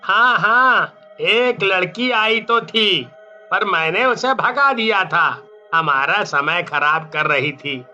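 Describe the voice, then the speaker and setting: A man voicing a stern, dismissive theater guard in a cartoon, scolding in drawn-out phrases. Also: sits high, expressive, sharp tone, full character voice. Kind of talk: Hindi cartoon voice acting. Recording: dull lo-fi sound with music.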